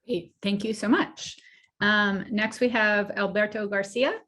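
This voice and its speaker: Woman moderator on a video call, friendly, halting with fillers. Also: mid-range voice, steady tone, fairly bright tone. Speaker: panel moderator. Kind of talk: moderating an online panel.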